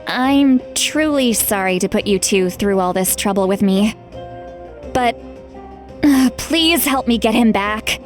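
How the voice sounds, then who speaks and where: Young female game leader, slightly high voice, earnest, decisive, frank, measured delivery, music behind. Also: animated. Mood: earnest, concerned. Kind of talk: video game voice acting.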